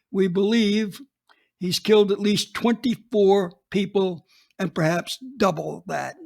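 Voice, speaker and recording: A man's voice: high voice, vocal fry, exaggerated voice. An actor playing a blunt, unapologetic retired police chief in his eighties, recounting a case in a radio drama. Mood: somber. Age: 80s. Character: gruff old male retired police chief.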